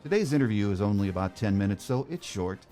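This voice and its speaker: American man, low-pitched voice, sports podcast host, scripted intro and interview delivery, faint music. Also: animated, fast talker, warm tone.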